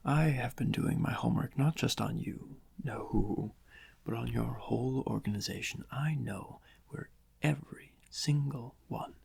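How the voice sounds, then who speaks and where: A man acts out a gloating male villain for an ASMR roleplay. The voice is mid-pitched and very breathy, often slipping into a whisper. He is smug and theatrical, lingering slowly over each phrase as he savours his own scheme. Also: darkish tone, heavily acted. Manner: slow, savouring, gloating, with drawn-out pauses. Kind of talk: soft-spoken ASMR roleplay.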